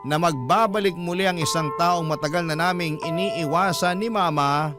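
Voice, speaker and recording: A male radio storyteller with a slightly high voice, narrating in a measured, sentimental way. Loud music plays behind him throughout. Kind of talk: dramatic first-person story narration.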